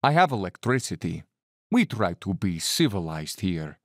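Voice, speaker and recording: An actor playing a suave, cold-blooded Cossack general who loves the hunt, speaking with urbane condescension in an audio drama. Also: male voice, deep voice, very animated, no echo, clean studio sound. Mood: casual menace. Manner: urbane, bland, condescending, theatrically unhurried. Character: suave, cold-blooded aristocratic Cossack general, fanatical big-game hunter.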